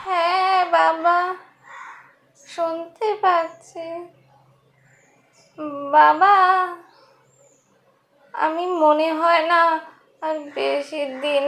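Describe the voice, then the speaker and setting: A woman voicing a frail, dying village mother in a cartoon, tender and resigned, with halting, laboured delivery. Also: extremely high pitch, little pitch movement, creaky, fairly bright tone, exaggerated voice. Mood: weak, resigned.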